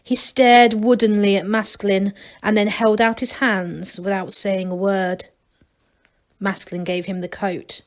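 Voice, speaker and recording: A woman's voice: medium pitch, flat delivery, a little slow. A woman storyteller reading a children's book aloud.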